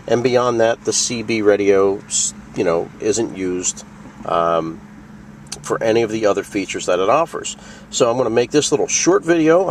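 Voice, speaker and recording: A man's voice: mid-range voice, a little mellow, far from the mic, a little hiss or hum. A man hosting a casual product tutorial, explaining things plainly and step by step. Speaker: video host demonstrating a radio.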